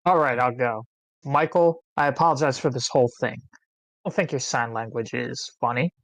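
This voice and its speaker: A man playing a sheepish, apologetic teenage boy in a script read, halting and awkward. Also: medium pitch, fairly bright tone, dry recording, close to an everyday voice. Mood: contrite. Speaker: amateur actor reading a part. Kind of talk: screenplay table read, dramatic dialogue.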